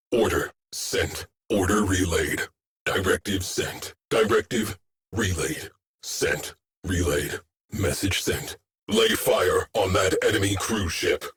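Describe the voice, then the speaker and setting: A stern male starship AI from a sci-fi video game. The voice is on the low side and very slow, with short, clipped, deadpan military callouts. It stays stoic and terse, like an officer on the bridge. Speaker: ship's tactical AI officer.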